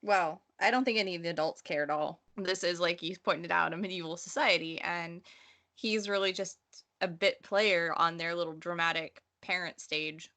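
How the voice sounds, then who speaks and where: A woman's voice: lowish voice, moderate pace, sharp tone. A woman co-hosting a casual book discussion, opinionated and emphatic, swearing freely. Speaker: podcast host.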